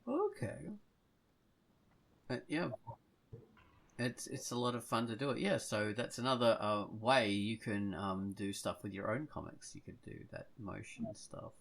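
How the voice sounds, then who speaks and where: A man's voice: on the low side, expressive, unhurried, gravelly. A man chatting casually and enthusiastically as a podcast co-host in an informal way.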